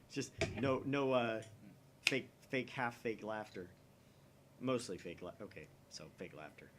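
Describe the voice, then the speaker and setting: An American man hosting a gaming let's-play, nerdy and animated, chatting casually and reading a visual novel aloud. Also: lowish voice, measured pace, a little hiss or hum.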